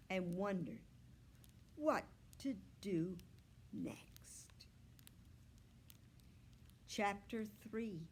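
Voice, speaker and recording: A woman reading a children's novel aloud as a storyteller, expressive and deliberate, drawing out words. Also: mid-range voice, a little hiss or hum. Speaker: children's story reader, library staff.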